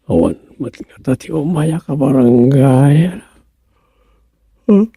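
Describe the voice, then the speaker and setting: A man's voice: mid-range voice, animated, slow pace, vocal fry, mellow, breathy edge, dry recording, clean studio sound, heavily acted. A man with an Ilocano accent playing a grumbling villager who frets over his neighbours in a radio comedy drama. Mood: weary.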